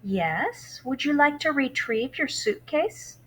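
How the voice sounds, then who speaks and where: A woman's voice: high-pitched, expressive, slow, a bit bright, a little hiss or hum, pitched above the performer's own voice, full character voice. A woman reading aloud, voicing a polite middle-aged woman librarian in a sweet, courteous manner.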